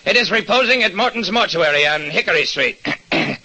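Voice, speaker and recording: A shifty small-time doctor in a 1940s radio mystery. His voice is fairly high and piercingly sharp, and his speech is formal, evasive and hesitant. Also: animated, fairly quick, heavily acted, low-quality recording. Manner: formal, hesitant, throat-clearing. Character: Doc Reardon, evasive shabby neighborhood doctor, male. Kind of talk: 1940s radio detective drama acting.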